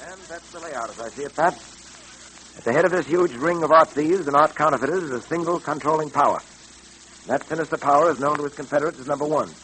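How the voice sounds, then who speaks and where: An actor playing a serious, determined police detective in an old-time radio drama, businesslike in manner. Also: male voice, on the high side, sharp tone. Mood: grave. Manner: measured wording, serious, businesslike. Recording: noisy old radio recording, hum.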